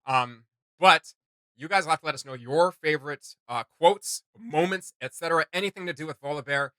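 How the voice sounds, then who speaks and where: A man hosting a reaction video with casual, excited commentary, speaking in an emphatic, slangy, exclamatory way. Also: on the high side, a little fast, no echo. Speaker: reaction channel host. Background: music.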